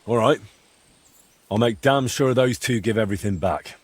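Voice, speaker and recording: An actor playing a plain-spoken young medieval adventurer in a video game, husky and blunt in his questions. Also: mid-range voice, moderate pace, no echo, lightly acted. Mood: resolute. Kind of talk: game cutscene dialogue.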